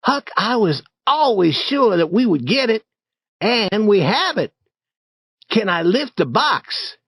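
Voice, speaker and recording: A man's voice: high voice, takes it slowly, husky, voice pushed up, heavily acted. A man doing an eager bold young boy. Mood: confident. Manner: confident, excited, urging.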